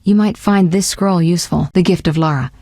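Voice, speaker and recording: A woman's voice: fairly low pitch, fairly quick, dark tone, no echo, studio quality, natural voice. A woman voicing a self-assured, sly sorceress in a video game, speaking in poised, articulate, formal phrases with a teasing edge. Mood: composed, advising. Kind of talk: scripted video game dialogue.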